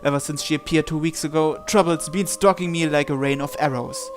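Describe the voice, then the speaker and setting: A man's voice: fairly high pitch, fairly even intonation, a little fast, over music. A man hosting a game playthrough, reading the story aloud expressively and adding casual commentary. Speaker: gamer hosting a visual novel playthrough.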